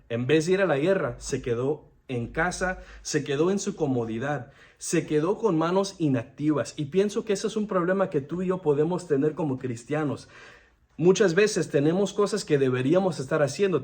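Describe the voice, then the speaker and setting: A man's voice: a little high, darkish tone. A young man giving an earnest devotional sermon, often stopping to restart his words. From United States. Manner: earnest, halting, frequent restarts. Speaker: devotional speaker.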